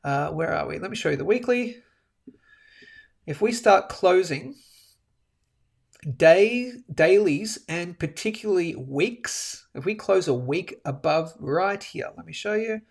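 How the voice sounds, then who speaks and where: A man hosting a live chart-analysis show, animated and a bit sniffly, tagging his points with "okay?". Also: high voice, measured pace, vocal fry. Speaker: host of a daily live precious-metals show. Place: indoors, a different room than usual.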